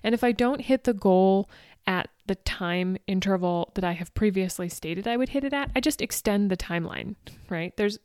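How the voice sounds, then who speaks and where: A woman in her forties talks straight to her listeners on a motivational podcast, chatty and upbeat with frequent little laughs. Her voice sits at an ordinary middle pitch. The recording is dry and close, with no room sound. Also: normal speed, darkish tone, clear, resonant tone, no room sound. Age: 40s. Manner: upbeat, chatty, laughs often, addresses listeners as "you guys". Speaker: podcast host. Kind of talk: motivational solo podcast talk.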